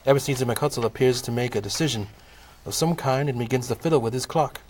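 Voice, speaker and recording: A man narrating a scripted comic audio play in a measured, formal storytelling manner. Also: mid-range voice, a little mellow, a little hiss or hum.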